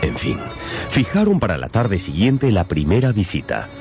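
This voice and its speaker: A man narrating a literary radio drama as a storyteller, with dramatic pauses and emphasis. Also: fairly low pitch, huge pitch swings, darkish tone. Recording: music behind the voice.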